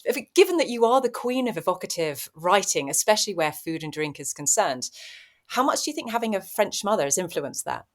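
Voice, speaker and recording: A woman's voice: medium pitch, expressive, brisk. A woman hosting an interview podcast, asking encouraging questions in a friendly way. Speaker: podcast host. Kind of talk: casual interview.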